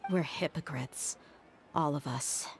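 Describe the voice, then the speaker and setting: A woman's voice: sits low, noisy background. An actress playing a tough young mercenary woman in a video game, wistful, guarded and reflective. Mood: bitter, regretful. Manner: reflective, measured storytelling. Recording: game music behind the voice.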